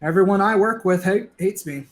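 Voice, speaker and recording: A man's voice: sits high, normal speed, natural voice. A man playing a down-on-his-luck, bickering but conciliatory neighbor in a stage play, talking in a wry, colloquial way. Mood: earnest, pleading.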